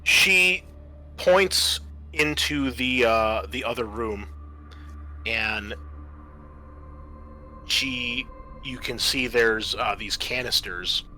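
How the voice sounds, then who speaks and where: A man running a tabletop roleplaying game as game master, narrating in halting, pieced-together phrases. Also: medium pitch, sharp tone, faint music behind.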